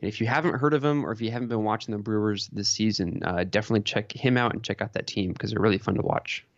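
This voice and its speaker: A man's voice: fairly low pitch, quick pace, no echo, lo-fi sound. A man co-hosting a podcast in informal, conversational sports and hobby chat.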